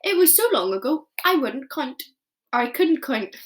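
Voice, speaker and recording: A woman voicing a kind, very old male giant in a storybook reading, speaking slowly and emphatically with muddled grammar. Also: high-pitched, very animated. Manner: slow, emphatic, mangled grammar.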